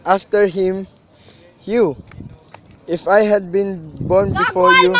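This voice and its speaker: A man's voice: high-pitched, takes it slowly, dull audio, very noisy. A man in a student radio drama, playing a grave, superstitious young cousin who speaks solemnly and cryptically. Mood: resigned.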